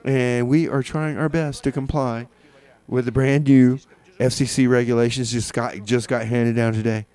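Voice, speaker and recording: A man's voice: mid-range voice, flat delivery, moderate pace, few pauses, darkish tone, smooth. A man hosting a music radio show with casual, hesitant, tongue-in-cheek patter, often repeating phrases for effect. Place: radio studio.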